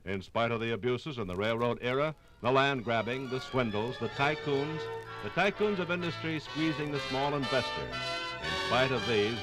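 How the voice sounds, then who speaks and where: A man's voice: mid-range voice, low-quality recording. An American man narrating a 1950s radio documentary from a script in a formal, emphatic, oratorical manner. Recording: 1950s radio program on vinyl. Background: music.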